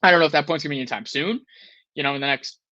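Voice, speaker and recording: A man's voice: fairly high pitch, racing, pushed and bright, dry recording, dull audio. A man in his thirties co-hosting a sports talk show, rambling with restarts and frustrated. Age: 30s. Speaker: podcast co-host.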